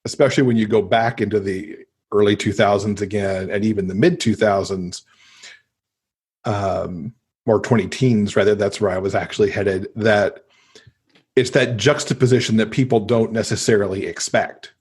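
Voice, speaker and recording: A man's voice: lowish voice, fairly even intonation, moderate pace, a little mellow, breathy edge. A man speaking as a podcast guest in casual conversation, explaining at length with conversational asides.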